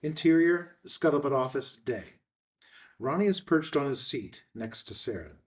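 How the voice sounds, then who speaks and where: A man's voice: medium pitch, lively intonation, normal speed, far from the mic. Male reader for stage directions, middle register, recorded a bit away from the mic. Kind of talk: scripted narration of screenplay directions.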